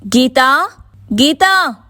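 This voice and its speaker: A woman acts out a young vegetable seller from a moral tale, high in pitch and closely, dryly recorded. She is devout and hardworking, speaks with emphatic feeling and has a quick temper. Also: flat delivery, a little mellow, dry recording, clean studio sound, lightly acted. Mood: frantic. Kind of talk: dramatised moral story dialogue.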